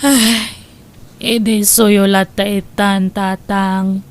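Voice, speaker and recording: An actress playing a despairing, weary mother deep in debt, complaining plaintively in a radio-style comedy drama. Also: flat delivery, very slow, slight background noise. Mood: weary, self-pitying.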